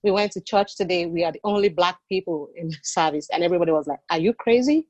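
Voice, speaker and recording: A woman telling a story in a lively way, acting out the voices of people she quotes. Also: lowish voice, racing, dry recording. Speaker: guest. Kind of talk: animated storytelling in conversation.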